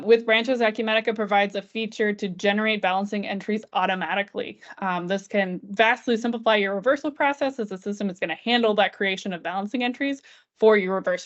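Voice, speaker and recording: A woman with a mid-pitched voice leads a software walkthrough on a slightly dull, lo-fi recording. She explains each step aloud as a trainer would, keeping it informal and conversational. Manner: informal, walks through steps aloud. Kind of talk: screen-share software tutorial, explanatory and conversational. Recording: dull, band-limited lo-fi audio.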